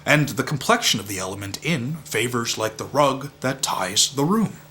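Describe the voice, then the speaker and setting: A man's voice: medium pitch, expressive, moderate pace, sharp tone, slight background noise. A man playing a blustering, hot-tempered knight in a pompous mock-Shakespearean dramatic recitation. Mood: scornful lecturing. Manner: declamatory, pompous, emphatic.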